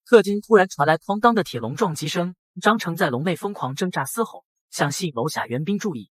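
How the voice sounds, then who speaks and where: A man voicing a brash young factory boss who narrates his own dramatic motion-comic story in a husky voice. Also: sits high, expressive, a little fast, a bit bright, dry recording. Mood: tense narrating. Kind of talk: dramatic first-person scripted narration.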